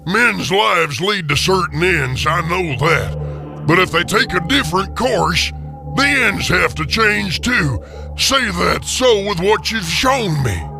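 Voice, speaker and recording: An old miser in a radio play, overwrought and exclamatory. The voice sits high and swoops widely, with a creaky edge. Every phrase is pushed with full emotional emphasis. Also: male voice, expressive, slow pace, music in the background, heavily acted. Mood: grave dread. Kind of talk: radio theater acting.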